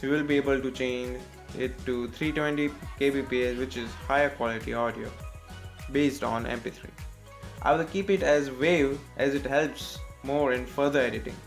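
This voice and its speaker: A man with an Indian accent narrating a step-by-step software tutorial as its host, in a scripted style. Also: medium pitch.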